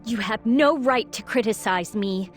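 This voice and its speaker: A woman's voice: a little high, unhurried, soft background music, lightly acted. A woman voicing a resolute, noble young princess in a fantasy video game, speaking in a composed, formal, earnest way. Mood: defiant. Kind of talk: video game cutscene acting.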